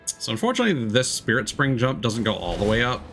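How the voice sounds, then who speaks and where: A man's voice: mid-range voice, very animated, fast, fairly bright tone, soft background music. A man giving casual live gameplay commentary as a streamer, thinking aloud as he muses through tactics. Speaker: video game streamer.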